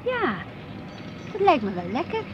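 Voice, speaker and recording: A woman's voice: very high-pitched, huge pitch swings, unhurried, muffled recording, noisy background, heavily acted. An actress playing a fussy, indecisive, easily embarrassed woman in a naturalistic radio play. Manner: hesitant, mildly complaining, chiding.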